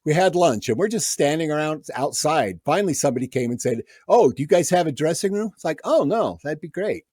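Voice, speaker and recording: A man's voice: fairly high pitch, fairly quick, hardly any pauses, breathy edge, no room sound. A man telling stories as a guest musician on a podcast, acting out the people he quotes. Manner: animated anecdote teller, acts out quoted voices. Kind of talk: casual storytelling.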